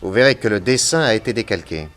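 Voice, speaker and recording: An actor playing a calm, authoritative male private detective in a French radio crime drama, questioning people methodically. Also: lowish voice, fast talker, faint music behind.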